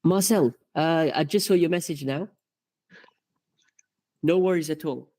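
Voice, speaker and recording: A man's voice: a little high, unhurried, mellow, no echo. A man hosting a live karting coaching stream, chatty and full of fillers as he reads out comments. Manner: chatty, many fillers and restarts.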